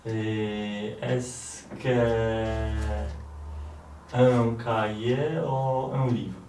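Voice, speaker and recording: A Polish-accented man works through French answers, halting and slow. His voice is of middling pitch. He sounds distant in a roomy space with faint background noise. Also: steady tone, darkish tone. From Poland. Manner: hesitant, halting, with fillers. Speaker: French learner. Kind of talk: answering lesson drills.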